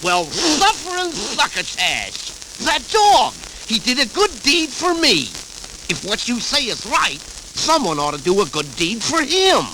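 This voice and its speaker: A man's voice: very high-pitched, wildly swooping pitch, unhurried, background noise, exaggerated voice. A man voicing a grumpy, scheming cartoon cat with a slobbery lisp and blustery delivery on a vintage children's record. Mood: amazed. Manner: blustery, exclamatory. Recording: vintage 78rpm record with music.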